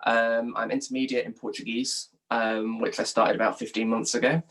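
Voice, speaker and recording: A man's voice: medium pitch, flat delivery, moderate pace, a bit bright. A young man from England giving informal, thoughtful interview answers as a journalist, often hedging as he talks. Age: young adult.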